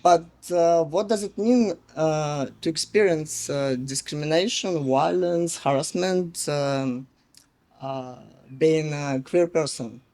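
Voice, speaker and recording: Halting Russian-accented man giving a speech. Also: fairly high pitch, mellow. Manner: halting, many fillers and pauses. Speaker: guest speaker. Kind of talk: public speech.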